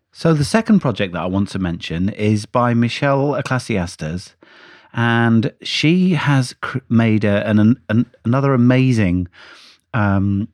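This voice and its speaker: A man's voice: fairly low pitch, darkish tone, no room sound, studio quality. A man who teaches music technology, giving a casual, rambling talk about student projects, full of fillers and restarts. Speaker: music education lecturer. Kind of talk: casual spoken lecture update.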